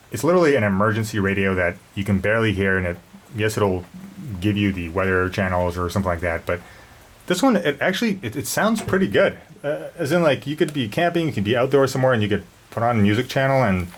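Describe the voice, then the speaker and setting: A man giving a casual, unscripted product review, rambling and repeating words as he goes. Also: on the low side. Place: garage during a thunderstorm. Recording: noisy garage with storm ambience.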